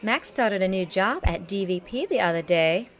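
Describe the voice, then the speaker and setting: An actress as an apologetic wife keeping up a brave face in an audio drama. Her mid-pitched voice has lively rises and falls. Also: normal speed, close to an everyday voice.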